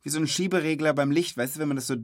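Chatty man hosting, slightly high voice. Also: steady tone, very fast, no room sound, studio quality. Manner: informal, chatty, reacts with short interjections. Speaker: host of a children's podcast. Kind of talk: conversational interviewing for children.

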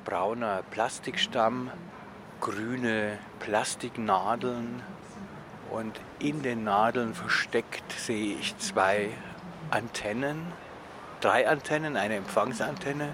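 A man's voice: on the low side, slow. A man, a reporter describing what is in front of him on location. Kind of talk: spoken on-site observation. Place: outdoors on location. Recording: clear outdoor urban traffic noise.

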